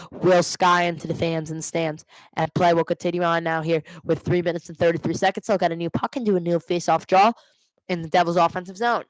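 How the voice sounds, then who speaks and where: A woman's voice: lowish voice, no room sound, poor audio. Woman calling hockey, dry close sound. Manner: exasperated Devils fan, self-correcting, explains plays informally. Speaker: play-by-play commentator hosting a fan watch party. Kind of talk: live amateur hockey commentary.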